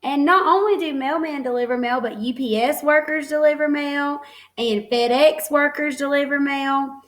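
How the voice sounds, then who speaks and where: A woman teacher reading a picture book aloud to young children, expressive and encouraging, pausing to explain things simply and ask questions. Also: high-pitched.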